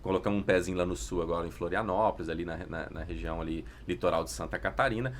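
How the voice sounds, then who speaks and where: A man's voice: sits low, quick pace, slight background noise. A Brazilian man speaking as a podcast guest in a casual, informal business conversation, illustrating points with little stories.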